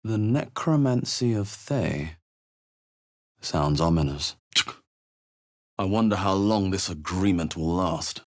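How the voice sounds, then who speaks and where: A man playing a wary, thoughtful fantasy adventurer in a video game, musing aloud in a measured, dramatic way. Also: low-pitched, lively intonation, darkish tone, exaggerated voice. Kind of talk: video game voice acting, short exploration remarks.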